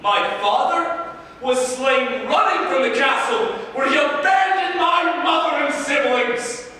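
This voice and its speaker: A man plays a sneering, bitter schemer on stage, high-voiced for a man and bright. He gloats theatrically and mocks his enemies. The recording is distant, in a big echoing hall. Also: unhurried, exaggerated voice. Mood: furious, scornful. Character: gloating bitter villain prince turned vengeful schemer. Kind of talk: staged play reading. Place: large echoing room, staged reading. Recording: echoey hall from far, faint noise.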